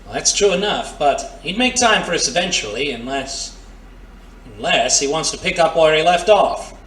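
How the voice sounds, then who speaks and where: High, bright-voiced tough guy ex-thief, played by a man. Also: expressive, moderate pace. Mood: wary, serious. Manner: wisecracking, slangy tough-guy talk. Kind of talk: pulp adventure audio drama acting. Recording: roomy, distant mic, faint noise.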